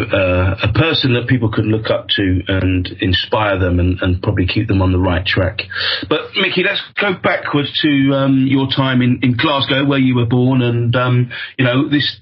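Husky-voiced man hosting an interview. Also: medium pitch, dull audio. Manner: hesitant, many ums and restarts. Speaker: host. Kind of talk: interview host.

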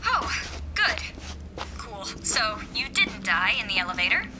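An actress playing an anxious, sharp-tongued young woman on radio duty in a sci-fi radio drama, emotive and halting. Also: medium pitch, very animated, a crowd in the background. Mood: sardonic concern. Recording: loud music under the dialogue. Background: loud music.

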